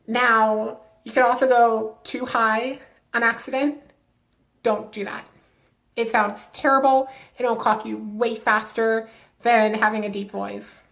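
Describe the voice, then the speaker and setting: A woman's voice: on the high side, steady tone, slow pace, creaky, fairly bright tone. A young adult woman vlogging casually to camera, chatty with lots of filler words and friendly advice.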